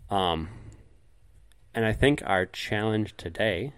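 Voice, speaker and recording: Low-voiced man explaining with many ums. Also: clear, resonant tone. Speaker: podcast co-host. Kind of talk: casual chat.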